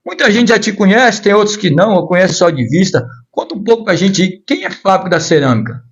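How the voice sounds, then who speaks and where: A man hosting his own podcast, introducing a guest and asking questions in an energetic, friendly way. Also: high-pitched, brisk, highs cut off. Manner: energetic, friendly host patter. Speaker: podcast host. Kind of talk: upbeat podcast introduction and interviewing.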